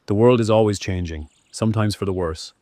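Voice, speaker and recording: An actor playing a dignified, graying Greek Stoic philosopher and tutor, pensive and formal, in an audio drama. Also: male voice, on the low side, fairly quick, dark tone, dry recording, studio quality, close to an everyday voice.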